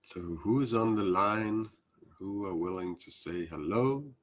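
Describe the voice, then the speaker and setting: A man with a Danish accent speaking English as a second language, hosting a casual spiritual live stream with many hesitant fillers. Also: lowish voice. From Denmark. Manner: hesitant, fills pauses with "uh" and "um".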